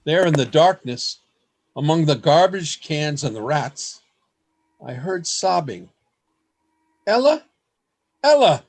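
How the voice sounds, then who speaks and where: A man's voice: on the high side, very animated, takes it slowly, long pauses, full character voice. A man acting an earnest, lovestruck and fearful poet in a radio play, with formal, theatrical phrasing, recorded over a video call. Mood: concerned, urgent. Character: earnest, lovestruck, fearful male poet keeping a secret journal. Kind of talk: radio play acting, journal narration and dialogue.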